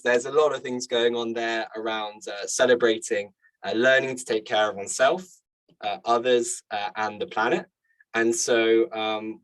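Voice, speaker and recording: A man's voice: medium pitch, a bit bright, rough recording. A man hosting an online event in an informal presenting style, with an upbeat, appreciative manner. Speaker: event host.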